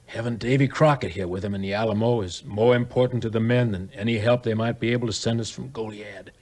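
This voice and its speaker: A man acting a grave, ailing American fort commander with a measured delivery in a 1950s audio play. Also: on the low side, fairly even intonation, a little mellow, natural voice. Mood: resigned, warm.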